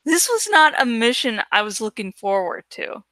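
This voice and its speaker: A woman hosting a livestream reading of a novel, speaking in an expressive, deliberate way with casual, dramatic delivery. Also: on the high side, no room sound. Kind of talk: dramatic audiobook-style reading with casual asides.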